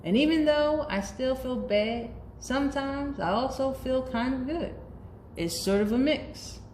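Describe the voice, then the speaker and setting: A woman's voice: high-pitched, unhurried, darkish tone, voice pushed up. A woman voicing a moody but earnest bad seed in a children's storybook, telling his story confessionally and dramatically. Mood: earnest, hopeful. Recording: roomy echo, faint background noise.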